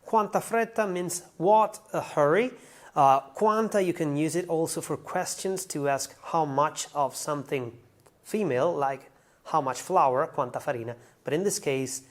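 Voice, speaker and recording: A language teacher giving an explanatory song translation lesson, going through it carefully word by word. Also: mid-range voice.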